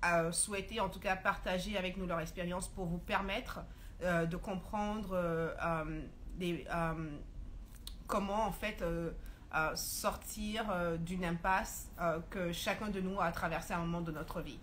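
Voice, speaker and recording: A woman hosting a live video chat, speaking slowly and haltingly with many fillers. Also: lowish voice, steady tone, a bit bright, slight background noise. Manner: slow, halting, heavy fillers. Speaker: host. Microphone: phone or video call.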